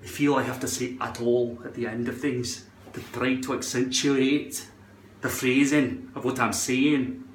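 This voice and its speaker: A man's voice: medium pitch, steady tone, a little slow, a little hiss or hum. A man rambling through a casual product tutorial in a shaky put-on Scottish accent, repeating words and swearing now and then. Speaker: vlogger demonstrating a hairpiece adhesive product. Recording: roomy, echoing indoor sound.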